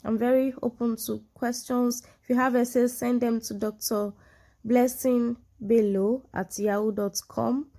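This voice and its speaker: A woman's voice: fairly high pitch, steady tone, unhurried, dark tone. A woman from Nigeria with a Nigerian accent and a sonorous voice, speaking English as a second language, giving an informal lesson with examples and frequent check-ins with the listener. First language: a Nigerian language, not English. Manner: explains with examples, frequent check-in tags like "yeah" and "you know". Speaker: online English exam tutor. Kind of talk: informal instructional talk to camera.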